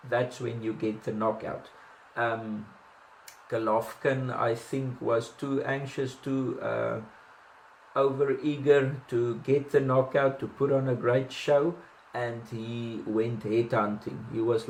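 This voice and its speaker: A man's voice: medium pitch, creaky, reverberant, a little hiss or hum, low-quality recording. A man with a South African accent giving casual boxing commentary, chatty, with many ums and restarts and self-deprecating asides.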